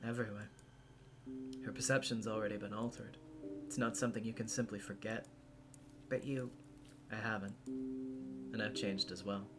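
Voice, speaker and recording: A guarded young woman from a visual novel , played by a solo performer. The delivery is casual, dry and deadpan, with a flippant edge. Music plays behind the voice. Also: mid-range voice, normal speed, pauses often, close to an everyday voice. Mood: guarded, flat.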